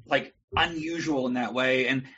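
A man's voice: mid-range voice, steady tone, moderate pace, bright, forceful tone, breathy edge, highs cut off. A man from New Zealand whose speech now sounds mostly American, chatting casually as a guest on a video call and telling wry, rambling stories with comic asides. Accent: mostly American, little of his New Zealand accent left. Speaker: guest creator.

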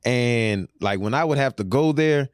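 A man's voice: mid-range voice, unhurried, clear, resonant tone, no room sound, clean studio sound. A man co-hosting a casual podcast, talking in a slangy, emphatic way. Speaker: podcast co-host. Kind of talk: casual banter.

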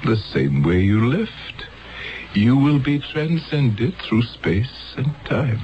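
An actor playing a grave, fatherly elder of a peaceful future world in solemn, deliberate 1970s radio drama style. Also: mid-range voice, animated, a little hiss or hum. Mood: gently consoling. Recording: dull 1970s radio broadcast recording.

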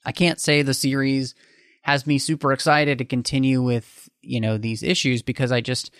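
Man, podcast host, medium pitch, informal, playful, conversational book chat, dry recording. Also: level tone, moderate pace, fairly bright tone, smooth, dry recording. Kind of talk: casual book discussion.

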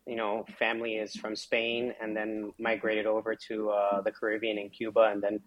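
Middle-aged south florida guy, kinda low voice, lots of ums and you knows. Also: completely flat delivery, moderate pace, dull audio. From South Florida, United States. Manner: conversational, many fillers like um and you know. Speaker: guest, physician associate. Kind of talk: interview answers, life story.

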